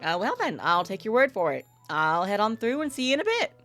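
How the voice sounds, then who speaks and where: A woman's voice: medium pitch, sing-song, fairly quick, fairly bright tone, soft background music. A woman voicing a polite, hesitant young woman newcomer, the heroine of a visual novel. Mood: polite, agreeable. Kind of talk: visual novel character read-aloud.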